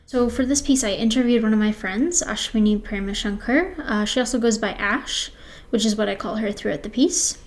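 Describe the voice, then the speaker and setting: A woman's voice: on the high side, normal speed. A young woman, hosting and interviewing for a radio piece. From born in Sri Lanka, grew up in Canada. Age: young adult. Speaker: interviewer and host.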